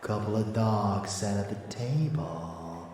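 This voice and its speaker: A man playing a rebellious, possessive and seductive vampire lover in an ASMR audio roleplay, teasing and bloodthirsty in a drawn-out, intimate delivery. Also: fairly low pitch, a little mellow. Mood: teasing, secretive. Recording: echoey, distant, faint background noise.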